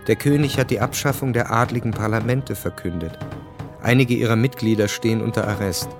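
A man's voice: fairly low pitch, brisk. Male radio drama narrator over music. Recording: music behind the voice.

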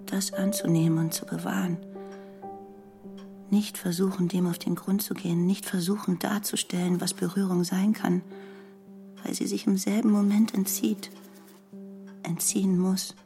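An actress narrating a literary radio play as a pensive woman, measured and deliberate, telling of a tender memory. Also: medium pitch, level tone, gravelly, warm tone, soft background music, lightly acted. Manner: measured, deliberate, in short pauses.

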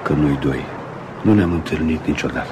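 An actor playing a brooding, cynical scientist in a Romanian radio drama, speaking bluntly and dismissively. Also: male voice, a bass voice. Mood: cold, dismissive. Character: brooding, cynical male biologist, hard-drinking and rational. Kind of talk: Romanian radio theatre acting. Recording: noisy old radio drama recording.

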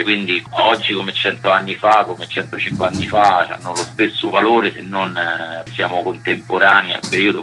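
A man's voice: low voice, bright, forceful tone, low-quality recording. A man with a Roman accent giving casual, colloquial, rambling answers as an interviewed musician. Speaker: musician interviewed as band member.

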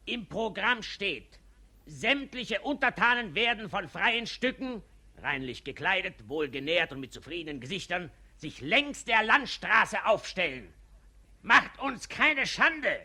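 An officious district official in a 1950s radio play. His voice is very bright and raspy, and he talks tersely. He sits fairly high in pitch. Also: big pitch swings, unhurried, full character voice.

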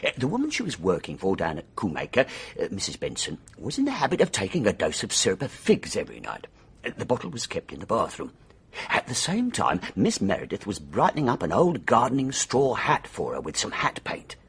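An actor playing a blunt, confident British male investigator in a radio drama, brisk and colloquial in manner. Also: fairly low pitch, wildly swooping pitch, fairly bright tone, husky, exaggerated voice. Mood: confident, reporting. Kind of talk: BBC radio drama acting.

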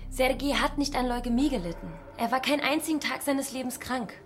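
A woman's voice: high-pitched, a little fast, slight background noise. An actress playing a plucky, stubborn young woman in her early twenties in a radio drama, cheeky and insistent. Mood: grave, insistent.

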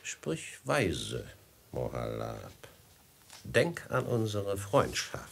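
A man's voice: sits low, wildly swooping pitch, drawn-out words, gravelly, husky, exaggerated voice. An actor playing a cunning, mock-courteous desert bandit chief in a German radio play, theatrical and savouring his verses. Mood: slyly coaxing. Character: cunning silky-tongued male desert bandit chief posing as host.

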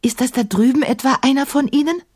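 Storybook heroine: brave, anxious young girl, played by a woman, raised pitch, pleading urgency. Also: brisk, a little mellow. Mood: hushed, curious.

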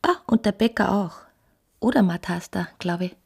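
Husky, intimate woman in love. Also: mid-range voice, normal speed, darkish tone. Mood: probing, needling. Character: earnest, probing woman in love with a married man. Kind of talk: German-language radio play acting.

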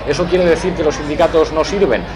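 Articulate male political speaker, slightly high and rapid, insistent, over music and crowd. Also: steady tone, poor audio. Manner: rhetorical questions, articulate, insistent. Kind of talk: political speech.

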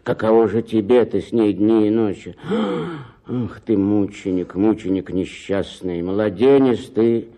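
An exasperated, scornful man in a radio play. He talks slowly and low with a dark, mellow tone, sighing in mockery. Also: expressive. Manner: sighing, mocking. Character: exasperated, scornful male visitor.

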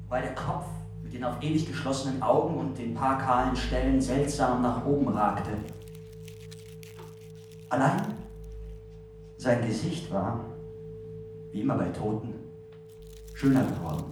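A man playing a solemn narrator in a radio play, reflecting on death with a measured, literary delivery. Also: mid-range voice, close to an everyday voice. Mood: solemn, haunted. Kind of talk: literary narration and recitation in an audio drama. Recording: very echoey, distant, music behind.

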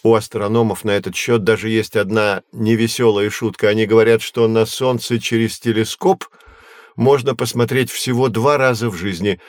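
A dry, closely recorded, fairly low voice belongs to a learned professor acted by a man. He explains in a measured, didactic manner, patient with his young listeners, as in a scripted educational audio play. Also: steady tone, no echo, lightly acted. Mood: patient explaining. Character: learned, patient male astronomy professor guiding children. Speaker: teacher.

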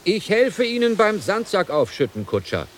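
An actor playing an inquisitive sea captain in a radio drama, probing and theatrical. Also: male voice, sits high, big pitch swings, moderate pace, a little hiss or hum. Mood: helpful. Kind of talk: 1990s German radio play acting.

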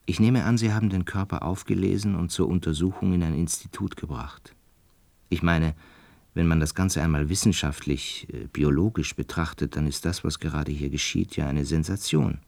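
A man's voice: sits low, normal speed, gravelly, darkish tone, no room sound. An actor playing a pensive, resigned man in a radio play, recounting and explaining things in a hesitant, musing way. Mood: resigned, reflective. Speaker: narrator and lead character of a radio play. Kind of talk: intimate reflective radio drama narration and dialogue.

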